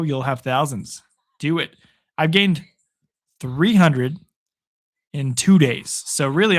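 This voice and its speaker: A man streaming live football reactions, his voice worn hoarse after a long session. He sits a bit higher in pitch than most men and keeps up a chatty, upbeat stream of commentary and replies to viewers. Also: fairly bright tone. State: hoarse from hours of talking. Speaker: sports streamer. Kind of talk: live play-by-play and chat reactions.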